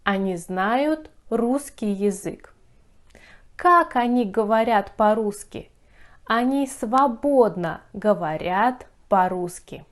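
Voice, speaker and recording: Slow, clear-spoken female Russian teacher. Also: medium pitch, animated. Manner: careful teacherly diction, repeating phrases for learners. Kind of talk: slow, clearly enunciated language lesson.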